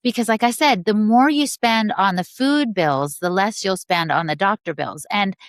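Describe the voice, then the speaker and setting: A woman's voice: medium pitch, moderate pace, no echo, studio quality. A woman in her sixties giving eager wellness advice in a casual podcast conversation, often speaking straight to mothers. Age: 60s. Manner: enthusiastic, advice-giving, talks to listeners as "Mama". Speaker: guest, wellness educator.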